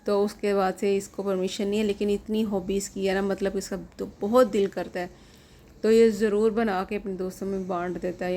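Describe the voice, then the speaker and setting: A woman's voice: medium pitch, warm tone, a little hiss or hum. Middle-aged pakistani mom vlogging from her kitchen, sore throat, chatty and homely, talking to her viewers. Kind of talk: casual home vlog narration. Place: home kitchen.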